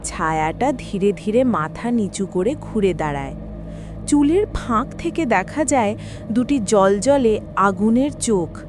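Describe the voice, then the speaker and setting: Expressive woman narrating horror. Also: medium pitch, unhurried, over music. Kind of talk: scripted horror story narration.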